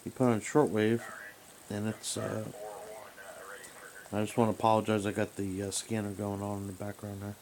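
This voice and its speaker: A man talking casually and haltingly as he rambles through a hobbyist's gadget troubleshooting demonstration. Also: on the low side, monotone, a little mellow. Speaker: radio hobbyist. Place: small room at home, indoors. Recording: noisy home recording with background hiss.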